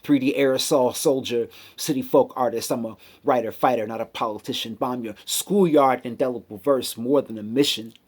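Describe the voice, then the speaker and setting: A male spoken word poet with a mid-range voice recites his verses in a hip-hop cadence. His delivery is rhythmic and emphatic, set off by deliberate pauses between short phrases. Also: medium pitch. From United States city.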